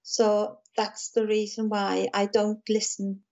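A woman from South Wales speaks in a soft, gentle South Welsh lilt as an interview guest. She chats calmly and patiently at an even, middling pitch. The recording sounds a little dull and lo-fi. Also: slow pace. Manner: gentle, calm, chatty with small laughs. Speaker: guest, musician and author. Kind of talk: casual interview chat.

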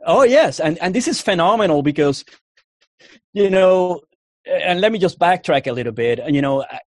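A man's voice: high voice, expressive, moderate pace, no room sound, clean studio sound. Cuban-accented man telling a rambling story. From Puerto Rico. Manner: rambling, full of restarts and fillers. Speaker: interview guest, Army veteran. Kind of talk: casual interview storytelling.

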